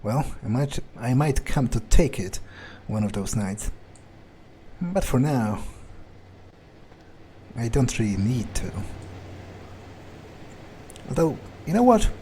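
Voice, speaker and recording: A man's voice: medium pitch, sing-song, takes it slowly, long pauses, dark tone, slight background noise. A man playing a cynical, callous young vampire in an in-character game playthrough, musing aloud in a hesitant, dismissive way. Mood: cold, callous. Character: callous, cynical young male vampire, foul-mouthed loner.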